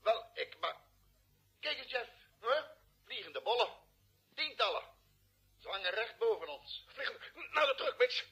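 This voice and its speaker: A man's voice: very high voice, sing-song, measured pace, pauses often, bright, forceful tone, slightly rough, heavily acted. An actor playing a space crewman calling in over a radio link in a Dutch radio drama. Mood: urgent.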